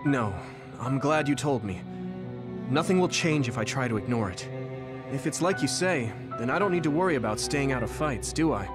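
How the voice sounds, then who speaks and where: A man playing a determined, burdened young soldier in a video game, speaking in an earnest, measured way. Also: medium pitch, lively intonation, natural voice. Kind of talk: video game cutscene acting. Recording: dramatic music behind the voice.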